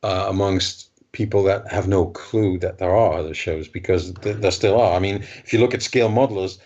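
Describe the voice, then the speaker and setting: A man's voice: deep voice, fairly quick, a little mellow. A man from the Netherlands, a model show organizer, talking in a casual podcast chat, hesitant with many restarts. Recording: dull lo-fi remote audio.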